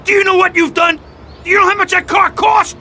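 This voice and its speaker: Screaming, gravelly, vicious mob boss. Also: male voice, very high voice, level tone, brisk, bright, forceful tone, a little hiss or hum, full character voice. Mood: furious. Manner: screaming, punching out words. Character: vicious, hot-tempered mob boss in a rage. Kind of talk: video game cutscene dialogue.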